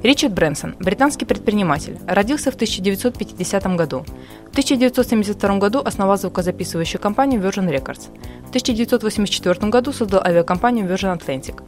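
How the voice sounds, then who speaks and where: A woman narrates a scripted profile at a very rapid clip. Her pitch is middling, and faint music plays behind her. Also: expressive.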